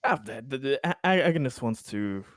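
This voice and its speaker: A man chatting casually as a player in a tabletop game, in a halting way that repeats syllables. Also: medium pitch, animated, dry recording, clean studio sound.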